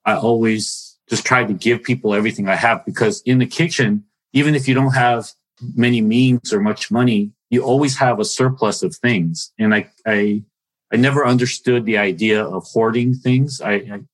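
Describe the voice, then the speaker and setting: A man's voice: medium pitch, normal speed, rough recording. A man who is a chef, speaking earnestly on a panel and often restarting his sentences. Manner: earnest, heartfelt, repeats himself when starting sentences. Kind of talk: panel discussion.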